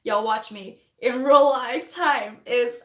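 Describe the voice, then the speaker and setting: A young woman, chatting on a phone livestream while doing her makeup, rambling fast, laughing often and losing her voice. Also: on the high side, sharp tone, reverberant. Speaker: livestreaming vlogger.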